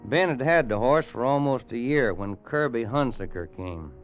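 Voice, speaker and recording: An actor playing a plain-spoken, kindly rancher in a radio western, folksy, with an American Western drawl. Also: male voice, medium pitch, expressive, moderate pace, close to an everyday voice. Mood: somber storytelling. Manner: folksy, plain, hesitant at times. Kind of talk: old-time radio western narration and acting. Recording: dull vintage radio recording with music.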